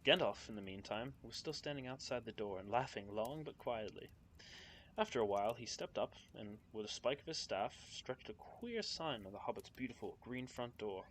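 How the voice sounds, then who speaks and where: A man's voice: medium pitch, expressive, moderate pace, a bit bright, rough recording. Young male streamer, mid-range voice, nervous, giggly casual reader, faint background hum. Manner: giggly, nervous, chats with viewers between passages. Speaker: streamer reading aloud. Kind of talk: casual live-stream book reading. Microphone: home streaming mic.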